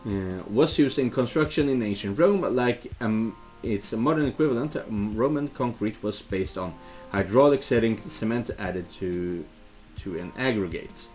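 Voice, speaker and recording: A low-voiced man plays a game and comments casually, rambling as he thinks aloud. He reads on-screen text in a loose, hesitant way, with music playing behind him. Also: expressive, normal speed, a little mellow. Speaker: video game let's player and dubber.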